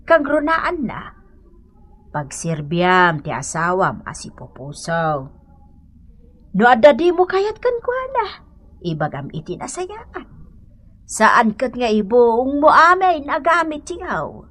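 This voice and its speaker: Animated, high-voiced motherly Ilocano adviser. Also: takes it slowly, heavily acted. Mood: admonishing. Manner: admonishing, emphatic, motherly. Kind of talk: Ilocano radio drama acting.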